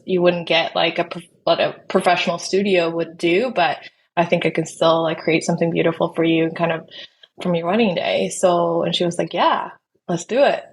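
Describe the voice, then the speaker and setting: Casting: Swedish-born woman, 30s, native Swedish speaker, slightly low voice, relaxed storytelling guest, light background noise. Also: low-quality recording. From Sweden. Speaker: podcast guest, wedding videographer. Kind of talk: casual interview, telling own story.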